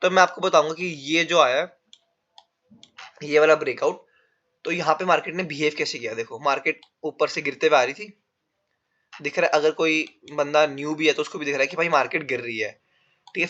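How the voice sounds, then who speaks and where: A man's voice: a little high, fairly quick, sharp tone. A man with a sore throat teaching a trading lesson while drawing on a chart, explaining step by step in a casual way. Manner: explains step by step, calls viewers "bhai". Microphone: no proper mic yet. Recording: dull lo-fi recording without a proper mic.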